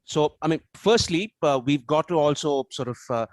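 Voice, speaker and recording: High-voiced man, native Tamil speaker. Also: moderate pace, no room sound. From South Asia, Tamil-speaking region. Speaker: guest reviewer on a book discussion. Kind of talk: casual analytical discussion.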